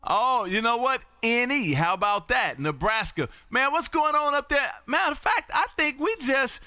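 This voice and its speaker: A loud, exuberant man chatting as an amateur radio livestream host, emphatic and prone to repeating phrases. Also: extremely high pitch, lively intonation, normal speed, no echo, clean studio sound. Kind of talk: ham radio contact chat. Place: indoor home radio room.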